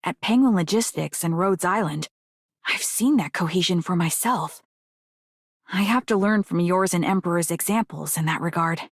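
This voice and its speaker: A poised, studious game heroine of the business world. Her slightly low voice is composed and formal, with a dry, close sound. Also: moderate pace, dry recording. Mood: earnest. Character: poised, studious young businesswoman game operator, earnest and respectful. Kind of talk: English video game character voice lines.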